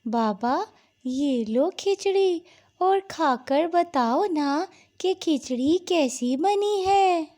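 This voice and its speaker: Very high, lively little girl bird voiced by a woman. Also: very slow, full character voice. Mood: eager, caring. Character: young girl bird daughter, caring, eager, devoted. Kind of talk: Hindi moral-story cartoon dubbing.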